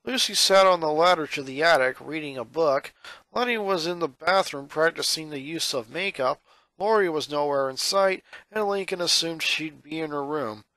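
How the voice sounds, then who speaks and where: High-pitched man narrating a story. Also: moderate pace, bright, forceful tone, no echo. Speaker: fan fiction reader.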